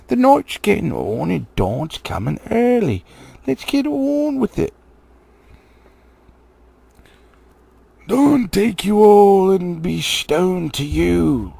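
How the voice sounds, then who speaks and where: Man as storybook troll, quarrelsome, dim-witted, blustering, swooping pitch, gravelly. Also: very animated, takes it slowly, mellow, no echo, heavily acted. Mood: impatient. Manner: blustering, argumentative.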